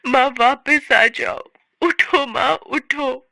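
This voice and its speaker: A woman voicing a grieving young daughter in a melodramatic story, desperate and pleading in drawn-out cries. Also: high-pitched, vocal fry, sharp tone, dry recording, pitched above the performer's own voice, full character voice. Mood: wailing grief.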